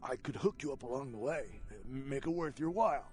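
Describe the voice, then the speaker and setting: A man plays a jittery undead tech geek for a video game. He is eager but evasive, stumbling over slangy words at an average male pitch, with music playing behind him. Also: expressive, a little fast, darkish tone, natural voice. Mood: eager, nervous. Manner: stammering, casual slangy talk. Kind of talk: video game character dialogue.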